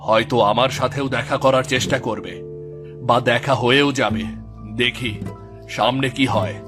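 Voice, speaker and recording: A wary village husband, acted by a man in a cartoon drama. His delivery is firm and cautioning, with a scornful edge. Music plays clearly behind him. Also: mid-range voice, fairly even intonation, normal speed, natural voice. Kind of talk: dramatized Bengali cartoon story dialogue.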